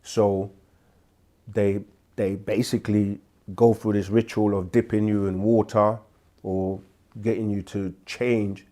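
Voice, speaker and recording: A man hosting a live question-and-answer stream, speaking with a sore throat and a congested voice, deliberate and full of restarts. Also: fairly low pitch, dark tone. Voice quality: slow, deliberate delivery with frequent restarts. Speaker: host answering viewer questions. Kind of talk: livestream Q&A teaching.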